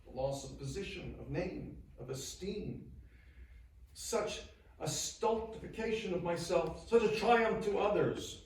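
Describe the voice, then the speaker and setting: An actor playing a 19th-century churchman bidding friends a sorrowful, earnest farewell, in a solemn, deliberate theatrical reading. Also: high voice, expressive, a little hiss or hum. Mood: anguished. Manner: solemn, deliberate, emotive, oratorical with weighted pauses. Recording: very echoey space, distant microphone.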